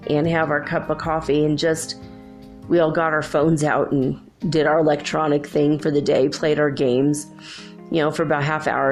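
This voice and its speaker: A woman's voice: sits low, creaky, a little mellow. A woman hosting a solo podcast, talking in a rambling, chatty way while congested. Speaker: podcast host. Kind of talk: casual solo podcast chat.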